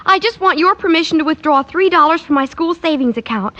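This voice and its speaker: A woman's voice: high voice, expressive, fairly quick, sharp tone. An actress playing an eager, girlish, wheedling teenage schoolgirl in 1940s radio sitcom style. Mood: pleading. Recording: muffled old radio recording.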